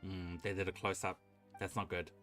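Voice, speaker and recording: A man's voice: low voice, level tone, moderate pace, fairly bright tone. A man streaming a video game, chatting casually with viewers and commenting as he plays. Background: faint game music.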